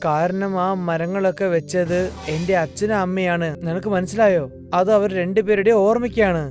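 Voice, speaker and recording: A man's voice: high-pitched, fairly even intonation, moderate pace, a little mellow, close to an everyday voice. A man playing a loyal, good-natured younger brother, a humble farmer who speaks earnestly in a cartoon fairy tale. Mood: firm, hopeful. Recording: faint music under the voice.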